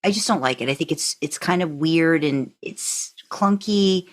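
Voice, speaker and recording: Low-pitched woman chatting casually. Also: normal speed. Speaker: podcast co-host; narrator by trade. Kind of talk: casual fan discussion.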